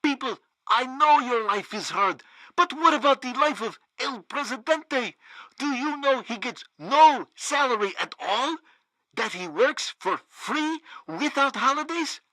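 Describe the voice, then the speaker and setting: A man's voice: very high voice, lively intonation, unhurried, bright, forceful tone, no room sound, exaggerated voice, low-quality recording. An actor playing a pompous, theatrical and fawning male propaganda radio news host in a satirical video game.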